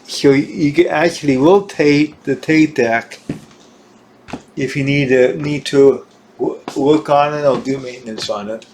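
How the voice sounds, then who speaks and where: A man giving an informal hands-on equipment demonstration, halting and repeating himself as he searches for words. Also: a little high, darkish tone, a little hiss or hum. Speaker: gear demonstrator.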